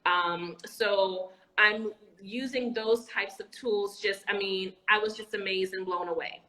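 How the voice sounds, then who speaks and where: A woman's voice: medium pitch, fairly even intonation, unhurried, pushed and bright, poor audio. A woman, an educator and entrepreneur, speaking as an interview guest over a video call, enthusiastic, with frequent fillers and restarts. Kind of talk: casual interview talk. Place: Anguilla.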